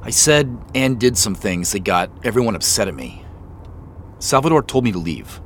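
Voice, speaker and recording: An actor playing a soft-spoken, remorseful younger brother in an audio drama, hesitant and self-deprecating in a natural way. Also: male voice, on the low side, big pitch swings, normal speed. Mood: remorseful, subdued. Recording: faint road noise behind voice. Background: car driving ambience.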